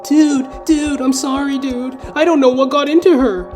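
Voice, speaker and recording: A man's voice: very high voice, fairly even intonation, moderate pace, a little mellow, faint music behind, pitched above the performer's own voice. A man acting out a flustered, apologetic guy, pleading and whiny, in a comic audio play.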